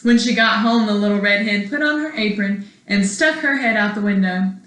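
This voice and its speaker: A woman's voice: mid-range voice, moderate pace. A woman schoolteacher reading a children's storybook aloud expressively, as if to her young students. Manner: expressive, asks young listeners questions. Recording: echoey room.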